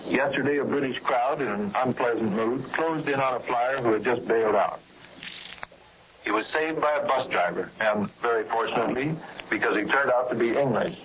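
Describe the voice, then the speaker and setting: A man reads a scripted 1940s war report as a radio correspondent, measured and narrative. The voice sits at medium pitch, dulled by an old shortwave broadcast with faint noise. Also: muffled recording.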